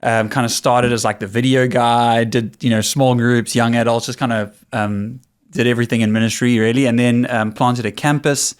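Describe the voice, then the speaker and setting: A man in his forties with a South African accent, a pastor telling his story as a guest in a casual, relaxed podcast chat. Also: mid-range voice, moderate pace, clear, resonant tone. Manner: relaxed, storytelling, laughs easily. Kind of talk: casual conversational interview.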